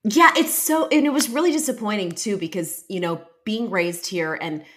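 Halting, reflective woman podcast host. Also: mid-range voice. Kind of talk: casual interview chat.

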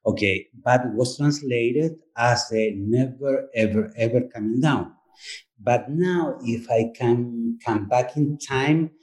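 A man from Chile with a Chilean Spanish accent, a native Spanish speaker, speaking as an author in a podcast interview, halting and searching for words. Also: medium pitch, a little mellow, low-quality recording. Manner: halting, searching for words, frequent "you know what I mean". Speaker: author and performer, guest.

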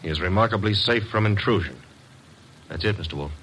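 The tough, loyal assistant in a classic radio detective show. His voice is fairly low with a hard, punchy edge. He rattles off facts plainly and wryly, like someone used to handling crooks and clients. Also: fairly quick, a bit bright, slightly rough, low-quality recording. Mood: businesslike. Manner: snappy, wry, matter-of-fact. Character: brisk, wisecracking male detective's assistant, tough but loyal. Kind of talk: classic radio mystery drama acting.

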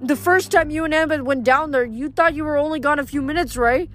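A woman's voice: sits high, fast, faint music behind, pitched above the performer's own voice, close to an everyday voice. A woman voicing a glum, earnest young male friend in a storybook.

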